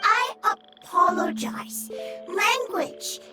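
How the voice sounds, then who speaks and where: A woman voicing a small, timid, childlike fungus creature in a video game, speaking in halting fragments. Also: very high voice. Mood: timid, apologetic. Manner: halting, broken sentence fragments. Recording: game music under the voice. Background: game music.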